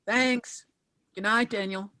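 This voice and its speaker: A woman playing a brisk widowed mother working as an investigator in a screenplay table read. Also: medium pitch, fairly even intonation, pushed and bright. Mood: dry, weary.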